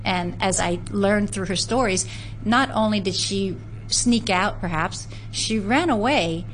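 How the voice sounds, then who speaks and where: A woman from San Francisco speaks as an interview guest, earnest and unhurried as she tells family history. Her pitch sits in the middle range. A faint noise runs underneath. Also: poor audio. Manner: earnest, storytelling, with frequent restarts. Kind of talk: conversational interview.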